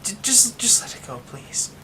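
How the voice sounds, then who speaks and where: A man voicing a haunted young man hiding a werewolf curse, speaking in a hushed, halting way that often drops to a whisper. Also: high-pitched, sing-song, background noise. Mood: nervous, haunted. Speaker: ASMR roleplay performer. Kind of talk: ASMR horror roleplay.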